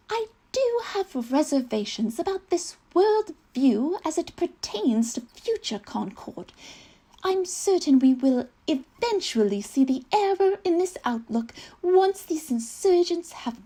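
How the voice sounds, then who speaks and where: Woman acting a high-pitched, prim Victorian young lady. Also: dark tone, exaggerated voice. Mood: prim, earnest. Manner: mannered, genteel, archly earnest. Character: naive, prim, fashion-proud young Victorian English gentlewoman. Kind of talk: theatrical comic monologue, reading a letter aloud.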